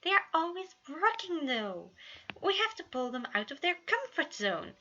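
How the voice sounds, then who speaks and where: A woman voicing an eager, fatherly man in a story, perky and excitable as he fusses over his household. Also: very high-pitched, moderate pace, a little hiss or hum, voice pushed up, heavily acted. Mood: excited. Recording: dull lo-fi home recording.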